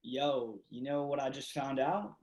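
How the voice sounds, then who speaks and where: A man's voice: mid-range voice, fairly even intonation, measured pace, darkish tone, close to an everyday voice. A man reading the part of a drunk, reckless man in his twenties in a play, loose, crude and slangy, on a video call. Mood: drunk, rambling. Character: drunk, reckless twenty-six-year-old party guy. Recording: echoey room on video call.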